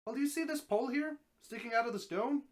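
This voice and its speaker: A man's voice: very high-pitched, quick pace, pitched above the performer's own voice. A man voicing an old, easygoing talking snake in a dramatic story reading, folksy and matter-of-fact. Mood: matter-of-fact, reminiscing.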